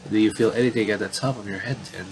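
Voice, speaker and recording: Low-voiced man doing noisy game commentary. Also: fairly even intonation, fast. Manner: stumbles and repeats phrases while reading. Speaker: gaming commentator reading a visual novel aloud. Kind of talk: casual playthrough commentary mixed with reading dialogue aloud.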